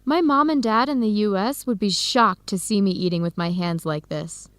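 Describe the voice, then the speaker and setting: A woman playing a friendly young American researcher in a radio drama, with an American accent and a lively, expressive manner. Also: medium pitch, normal speed, no room sound, lightly acted. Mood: warm, chatty. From United States.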